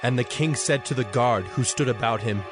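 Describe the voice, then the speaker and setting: Male narrator of scripture over music. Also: mid-range voice. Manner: measured, formal storytelling.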